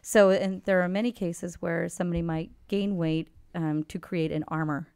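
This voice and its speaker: Woman therapist guest, lower voice, smooth, dry close sound, reflective with frequent ums. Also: fairly even intonation, normal speed, darkish tone, dry recording. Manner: thoughtful, restarts sentences with many ums. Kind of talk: podcast conversation.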